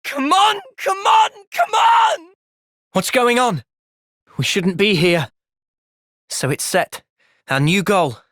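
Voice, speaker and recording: A young man playing an earnest, thoughtful young soldier hero in a fantasy video game's cutscenes, with expressive delivery. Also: high voice, slow, long pauses, no echo, raised voice, studio quality, heavily acted. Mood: urgent, distressed. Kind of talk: video game cutscene acting.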